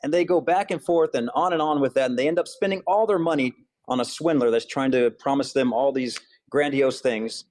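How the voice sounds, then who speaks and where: A man from Hawaii speaks as an author and school counselor being interviewed. He explains his ideas earnestly and steadily, at a middle pitch, and keeps his delivery even. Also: brisk. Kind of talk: interview answers.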